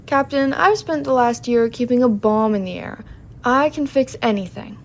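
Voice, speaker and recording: A woman's voice: on the high side, moderate pace, slight background noise, close to an everyday voice. A woman playing a tough, blunt ship's mechanic with a sardonic manner in a sci-fi comedy audio drama. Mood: confident. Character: gruff, sardonic female ship mechanic, tough and capable.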